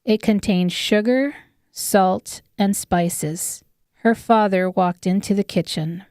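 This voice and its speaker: A woman's voice: mid-range voice, slow pace, darkish tone, no echo, studio quality. A woman reading scripted audiobook narration of fiction. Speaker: author narrating her own audiobook.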